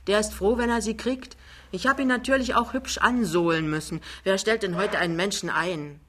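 A woman's voice: medium pitch, moderate pace, fairly bright tone, close to an everyday voice. Boisterous big male schemer voiced by a woman, chummy, slangy, blustering, storybook reading. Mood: breezy, boastful. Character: big, boisterous, fast-talking male wheeler-dealer, jovial. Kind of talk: dramatized literary reading.

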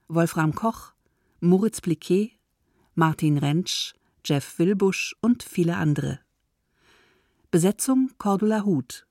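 A woman's voice: lowish voice, warm tone, no echo, clean studio sound. A woman reading scripted radio play credits as an announcer.

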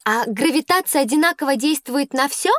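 A curious young pupil in an educational audio play. The voice is high and swoops expressively through questions. The sound is dry and close. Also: female voice, expressive, brisk, fairly bright tone, no echo. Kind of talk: scripted educational audio drama.

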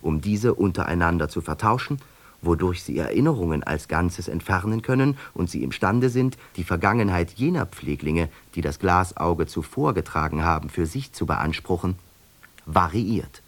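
A man narrating a radio play in a measured, detached way through long winding sentences. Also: low voice, animated.

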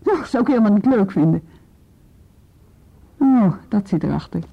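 An actress with a Netherlands Dutch accent playing a sharp, sardonic, questioning police inspector in a naturalistic radio play. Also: female voice, medium pitch, big pitch swings, moderate pace, vocal fry, husky, exaggerated voice. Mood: irritated, sarcastic. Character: sharp, sceptical female police inspector, irritated by office politics. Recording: muffled radio drama recording.

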